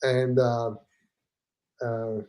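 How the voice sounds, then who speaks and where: A man from Italy with an Italian accent, a podcast guest talking casually and haltingly, with many fillers and restarts. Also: mid-range voice, little pitch movement, darkish tone. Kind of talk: casual conversation.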